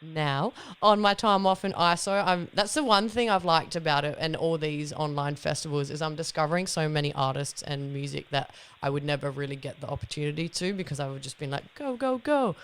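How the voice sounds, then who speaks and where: A woman hosting a casual podcast interview, relaxed and quick to laugh. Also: low voice, clean tone. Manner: laughs easily, relaxed questioning. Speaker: podcast host.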